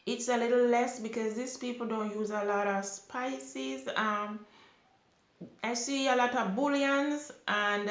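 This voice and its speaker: A young woman from Jamaica with a Jamaican accent, chatting casually as she answers viewer questions from her sofa. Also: on the high side. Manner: unhurried, chatty, with lively rises when emphatic. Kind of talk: casual Q&A chat. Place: small indoor room, seated on a sofa. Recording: echoey room.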